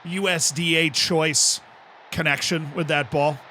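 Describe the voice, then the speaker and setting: An American man live-streaming a game, commenting excitedly, shouting at big moments and cracking jokes in between. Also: fairly high pitch, unhurried, slight background noise. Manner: excitable, shouts at goals, jokey asides.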